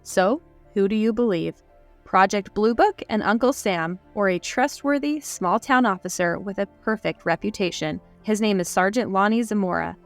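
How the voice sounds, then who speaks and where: A woman's voice: medium pitch, normal speed, soft background music. A woman co-hosting a podcast in upbeat, chatty casual conversation, laughing easily. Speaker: podcast co-host. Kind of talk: casual chat.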